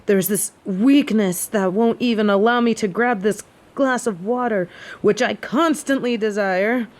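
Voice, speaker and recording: Woman acting a slow-spoken, haughty sick angel. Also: mid-range voice, close to an everyday voice. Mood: miserable, indignant. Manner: haughty, formal, archaic phrasing. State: sick with a head cold, sore throat. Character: snobby haughty fallen angel of judgment, sick with a cold. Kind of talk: dramatic audio roleplay.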